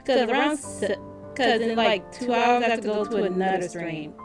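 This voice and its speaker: A woman's voice: fairly high pitch, moderate pace. A woman chatting casually and informally as she hosts a game live stream, often repeating her phrases. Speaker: streamer hosting a visual novel playthrough. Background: music behind the voice.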